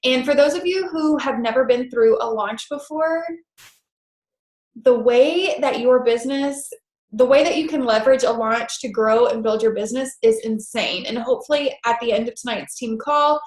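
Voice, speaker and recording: Stuffy-nosed woman hosting a team call, peppy, lots of ums, kinda high voice, echoey room. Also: normal speed, darkish tone. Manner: upbeat and enthusiastic with many "super"s and "um"s. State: congested from a head cold. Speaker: team leader of a coaching group.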